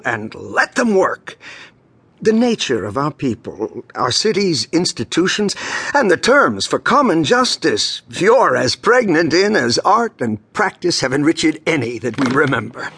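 An actor playing a solemn ruling duke in a Shakespearean stage drama, with formal, measured, oratorical delivery. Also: male voice, a little high, a bit bright, husky, full character voice. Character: stately ruling duke, solemn, handing over power. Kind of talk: classical Shakespearean stage acting.

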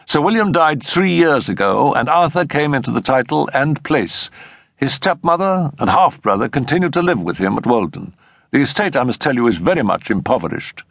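A man's voice: mid-range voice, big pitch swings, moderate pace, gravelly, a bit bright, no room sound, studio quality, pitched above the performer's own voice, heavily acted. A man voicing an anxious, frank and earnest male doctor in an audiobook story. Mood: frank, uneasy.

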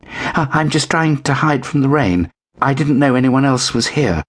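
Storybook kitten, male narrator performing: small, timid, polite, pleading, pitched up. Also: animated, normal speed, husky. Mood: frightened. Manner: pleading, meek, stammering.

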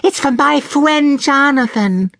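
A man voicing a stubborn, whiny little girl in a very high, chiming voice, as in a storybook reading. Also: expressive, slow pace, fairly bright tone, dry recording, heavily acted. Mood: eager. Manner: pleading, stubborn. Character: whiny, insistent six-year-old little girl.

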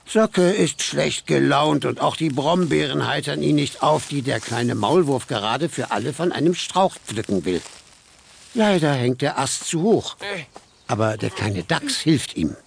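A man narrating a children's story from a script in an expressive, lively way with dramatic pauses. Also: on the high side, creaky, a little hiss or hum.

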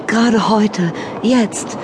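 A woman's voice: medium pitch, drawn-out words. A woman playing a devoted, naively impulsive citizen of a regimented future in a radio drama. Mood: yearning. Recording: loud ambient noise behind.

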